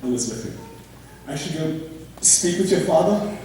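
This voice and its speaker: A man on stage playing a proud, remorseful young gentleman, formal and earnest. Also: a little high, animated, moderate pace, natural voice. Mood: hesitant. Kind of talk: amateur stage play acting. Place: theatre stage. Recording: echoey hall, far mic, faint noise.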